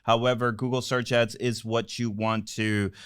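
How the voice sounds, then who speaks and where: A man's voice: mid-range voice, level tone, moderate pace, smooth, dry recording, clean studio sound. A man hosting a casual business podcast, talking informally and prompting his co-host along.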